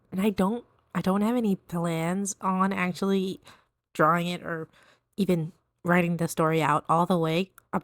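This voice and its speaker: A young woman chatting casually while she draws, soft-spoken and rambling, with a fairly monotone voice and lots of fillers and restarts. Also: on the low side, no room sound, clean studio sound. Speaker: art vlogger. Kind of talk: casual chat while drawing.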